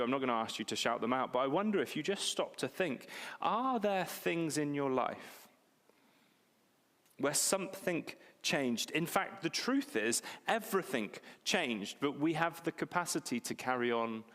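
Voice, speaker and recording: A man's voice: medium pitch, expressive, moderate pace, fairly bright tone. Preacher in his forties, reverberant hall. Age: 40s. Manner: conversational, self-deprecating, repeats key phrases for emphasis. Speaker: pastor. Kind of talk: sermon. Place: church hall. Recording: long reverb of a church hall.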